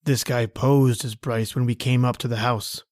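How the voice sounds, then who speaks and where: A man's voice: medium pitch, fairly even intonation, moderate pace, a little mellow, natural voice. An actor playing a folksy, sardonic tough guy in an old-time radio thriller, with slangy speech. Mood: grim. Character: John Taylor, folksy sardonic tough guy, thought a killer.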